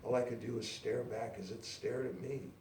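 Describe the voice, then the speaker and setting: Brash, gushing woman for a story reading played by a man, lifted pitch, roomy distant sound. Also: steady tone, normal speed, a little mellow, close to an everyday voice. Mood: awed, excited. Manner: emphatic, breathless insistence, calls people dearie. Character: brash, excitable woman small-press publisher, proud and gushing. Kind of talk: dialogue in a live literary reading. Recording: roomy, distant live recording with noise.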